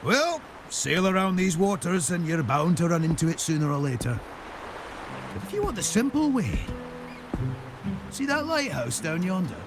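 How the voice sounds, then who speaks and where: Eccentric old sea captain, video game character, jovial, folksy, swooping pitch, gravelly fry, music behind. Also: male voice, normal speed. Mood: folksy, upbeat. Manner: folksy, animated, swooping delivery.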